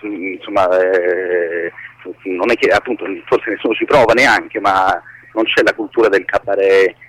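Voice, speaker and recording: A man giving a casual radio interview as a guest, haltingly searching for his words. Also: low-pitched, fairly even intonation, fairly bright tone, dry recording, low-quality recording. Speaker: guest, screenwriter.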